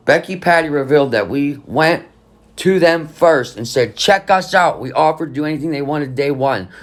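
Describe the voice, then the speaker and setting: A man's voice: fairly high pitch, normal speed. A man hosting a true crime channel, reading an article aloud in casual commentary, rambling and skeptical. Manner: rambling, skeptical, hums between passages.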